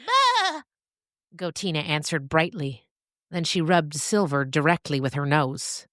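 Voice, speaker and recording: Low female voice, deliberate dramatic narration, scripted fiction, dry sound. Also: dry recording.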